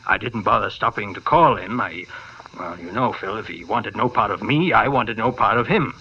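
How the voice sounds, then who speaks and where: An actor playing a worried, earnest older doctor, hesitant and pleading, in a 1950s radio drama. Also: male voice, on the low side, normal speed, sharp tone. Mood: troubled, earnest. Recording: muffled, noisy vintage radio broadcast.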